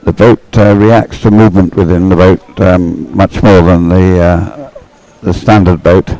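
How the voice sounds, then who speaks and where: A man giving conversational live sports commentary as an expert analyst, explaining haltingly with repeated words. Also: deep voice, steady tone, dark tone, poor audio.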